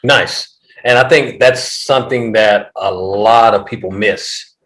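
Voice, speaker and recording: Man in his late forties, podcast host, coach, animated, restarts sentences. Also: lowish voice, measured pace. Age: 40s. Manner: animated, repeats words when starting sentences. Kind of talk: conversational interview.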